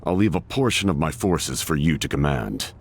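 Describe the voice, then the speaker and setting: Very deep, gravelly military commander. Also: male voice, expressive, normal speed, a little hiss or hum. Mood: stern, calm. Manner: terse, formal, commanding. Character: stern, imposing male military commander, calmly commanding. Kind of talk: video game voice acting.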